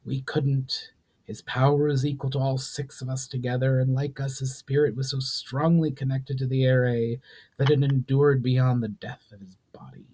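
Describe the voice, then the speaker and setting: Male reader as ancient grieving sorceress, solemn, weary, pained, slow delivery, fantasy storybook. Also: medium pitch, flat delivery, dark tone, close to an everyday voice. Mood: sorrowful, solemn.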